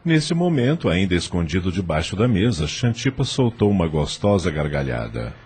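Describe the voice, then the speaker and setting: Male narrator, Brazilian accent, low voice, animated scripted storytelling, audio drama. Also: moderate pace, heavy background noise. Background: faint music.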